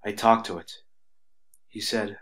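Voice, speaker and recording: A man reading a scripted horror story as its narrator, in an ominous, dramatic manner that can drop to a hush. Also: lowish voice, steady tone, measured pace.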